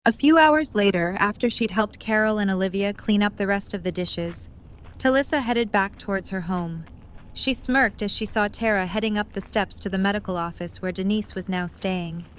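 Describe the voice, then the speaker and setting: A woman's voice: medium pitch, normal speed, slight background noise. A woman narrator reading scripted third-person story narration for an audio drama.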